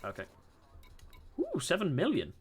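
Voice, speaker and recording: A man's voice: on the high side, wildly swooping pitch, normal speed, slightly rough. A man streaming a space game, chatting casually, reading chat aloud and laughing often.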